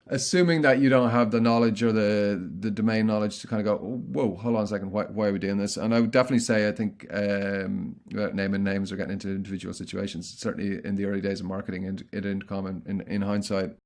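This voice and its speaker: Middle-aged male guest, rapid delivery, somewhat low voice, loose restarts. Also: darkish tone. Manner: quick, chatty, frequent restarts. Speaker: guest, content marketing consultant. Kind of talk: casual interview answers.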